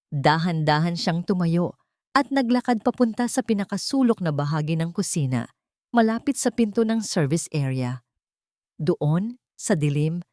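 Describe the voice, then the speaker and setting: A Filipino woman reads a dramatic story as its narrator. Her voice sits a little low for a woman. The sound is close and dry, with hardly any room in it. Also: warm tone, dry recording.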